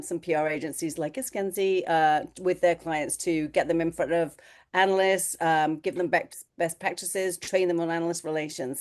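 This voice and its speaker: A woman speaking as an analyst relations consultant on a webinar panel, brisk and matter-of-fact. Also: low-pitched, a little mellow. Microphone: video call.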